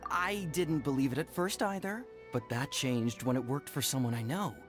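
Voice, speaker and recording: A man's voice: mid-range voice, normal speed, over music, close to an everyday voice. A man playing a gossipy, enthusiastic promoter in a video game, persuasive and salesman-like as a storyteller. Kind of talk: animated game dialogue.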